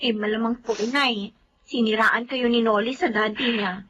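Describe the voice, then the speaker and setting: An actress playing a young daughter and student who speaks earnestly in a radio drama. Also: female voice, on the high side, steady tone, normal speed, fairly bright tone, dull audio, slight background noise, close to an everyday voice.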